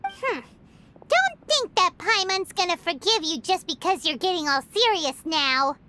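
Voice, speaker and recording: A woman voicing a small, childlike fairy companion who talks about herself in the third person, excitable and quick to take offense. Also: background noise, full character voice. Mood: indignant. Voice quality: very high, bright childlike voice. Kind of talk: video game dialogue. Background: game music.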